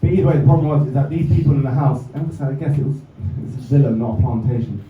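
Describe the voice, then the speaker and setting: A man's voice: mid-range voice, fast talker, a little hiss or hum. A young English man doing a stand-up set with dry, self-mocking asides, recorded from a distance in a comedy club. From England. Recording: roomy, distant, slightly dull club recording. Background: audience laughter.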